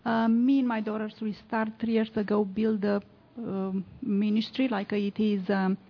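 A woman's voice: medium pitch, flat delivery, moderate pace, slightly rough, lo-fi sound. A woman from Romania with a Romanian accent speaking on a church panel, plain and searching for words in English. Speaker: ministry founder on a panel. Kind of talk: panel discussion remarks. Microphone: handheld microphone.

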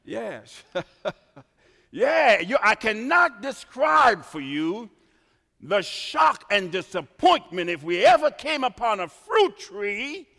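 Animated preacher from Trinidad. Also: male voice, sits high, slow pace, gravelly. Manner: dramatic, swings from quiet asides to shouted emphasis. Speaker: pastor. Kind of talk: sermon. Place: church. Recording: reverberant church hall.

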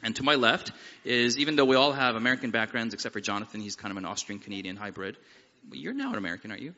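A man who is a pastor, hosting a live seminar panel in a relaxed, joking, conversational way. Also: medium pitch, rapid-fire. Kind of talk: opening prayer then panel introductions. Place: seminar hall with live audience. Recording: dull sound with long hall reverb.

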